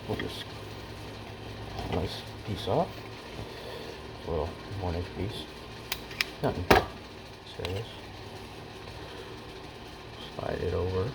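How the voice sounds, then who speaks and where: A man's voice: low voice, slow pace, creaky, long pauses, heavy background noise. A man giving a casual hands-on how-to as an amateur hobbyist, muttering to himself in short fragments as he works. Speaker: hobbyist demonstrating electronics repair.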